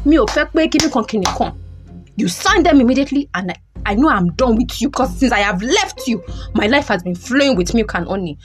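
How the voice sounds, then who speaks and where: A man's voice: extremely high pitch, animated, fairly quick. A man with a Nigerian accent playing a scornful, domineering estranged husband in an audio drama, repeating phrases for emphasis. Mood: contemptuous. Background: music.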